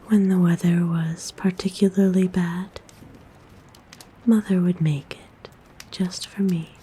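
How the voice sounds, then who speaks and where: A woman voicing a kind, gentle forest witch in a soft, breathy whisper, soothing and tender, for an ASMR roleplay. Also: fairly low pitch, fairly even intonation, slow, a little hiss or hum. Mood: fond, nostalgic.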